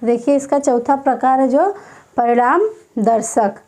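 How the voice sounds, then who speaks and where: A woman teacher giving an exam-prep grammar lesson, dictating slowly and repeating each term several times. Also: high-pitched, darkish tone.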